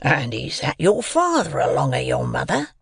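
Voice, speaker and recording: A man voicing a menacing, ragged convict in a storybook, with slow, drawn-out rustic threats. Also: medium pitch, wildly swooping pitch, creaky, slightly rough, no echo, clean studio sound, full character voice. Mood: suspicious.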